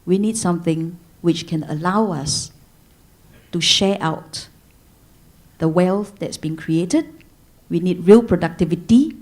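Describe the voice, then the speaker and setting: A woman with a fairly low, mellow voice speaks on a panel. She drives her argument with forceful statements that repeat the same opening. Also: expressive, slow pace, pauses often. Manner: forceful, repetitive declarations. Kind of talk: panel talk.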